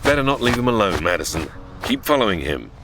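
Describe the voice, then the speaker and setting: A man playing an exasperated senior angel who gives firm, brisk orders in an audio comedy. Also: lowish voice, very animated, background noise. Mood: instructing. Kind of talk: comedy audio drama acting.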